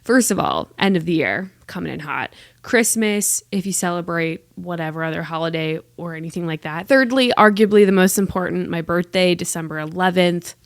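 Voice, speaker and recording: A woman's voice: on the low side, lively intonation, normal speed, few pauses, dry recording, clean studio sound. A woman in her late twenties hosting a casual solo podcast, chatting in a rambling, self-deprecating way with lots of "like" fillers. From United States. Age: 20s. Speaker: podcast host.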